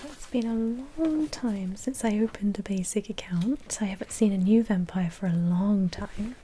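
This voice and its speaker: A woman's voice: medium pitch, moderate pace, warm tone, slight background noise, natural voice. A woman playing a polite, businesslike vampire blood bank manager in a whispered ASMR roleplay, explaining procedures in a helpful, officious way.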